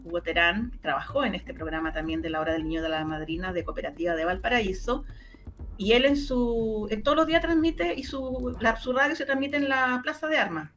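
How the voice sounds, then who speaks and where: A woman's voice: medium pitch, a little fast, a bit bright. A middle-aged Chilean woman speaking informally as an interviewee, often searching for words and repeating her phrases as she explains her research. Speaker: interviewee, researcher and former radio journalist.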